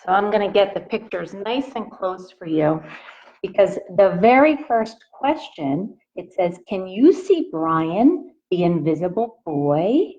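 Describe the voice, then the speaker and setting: Slow-paced woman reading aloud to children. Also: medium pitch, dull audio. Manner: deliberate, expressive, addresses young listeners directly. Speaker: teacher reading a picture book aloud to children. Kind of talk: read-aloud storytelling for young children.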